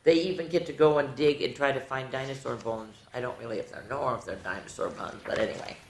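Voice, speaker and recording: A middle-aged or older woman hosting children's storytime, reading picture books aloud in an animated way, stretching key words and chatting directly to the kids. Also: very deep voice, fast, a little hiss or hum. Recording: roomy, echoey room sound.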